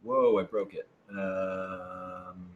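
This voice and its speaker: A man's voice: sits low, fairly even intonation, extremely slow, highs cut off, a loud crowd. A man co-hosting a casual question session, telling anecdotes and reading out questions in a playful, theatrical way. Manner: playful, jokey, theatrical storytelling. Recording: roomy, echoing indoor sound.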